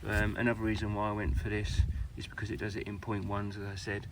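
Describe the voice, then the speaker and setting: Man, British accent, lowish voice, informal halting delivery, casual product review, slightly noisy recording. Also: monotone.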